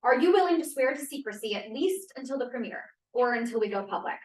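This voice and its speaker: A woman performs a spirited, challenging feminist guarding her society's secrets. Her fairly high voice moves animatedly up and down. It is a table read picked up at a distance in an echoey room. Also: animated, quick pace, natural voice. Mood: guarded, firm. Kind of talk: table read of a play script.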